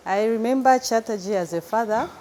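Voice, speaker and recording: A woman's voice: fairly high pitch, brisk, darkish tone, a little hiss or hum. A woman from Zambia with a Zambian accent, speaking as a farming trainee in a documentary interview.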